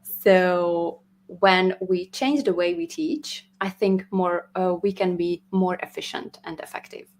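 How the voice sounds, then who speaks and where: A woman's voice: lowish voice, steady tone. Woman music teacher. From Hungary. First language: Hungarian. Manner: thoughtful, laughs often, slightly halting. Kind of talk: casual interview.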